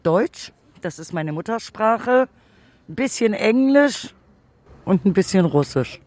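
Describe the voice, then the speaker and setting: A woman's voice: medium pitch, expressive, takes it slowly, darkish tone, no echo. A woman from Baden-Württemberg answering an interview in an easygoing, conversational way. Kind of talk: casual answers.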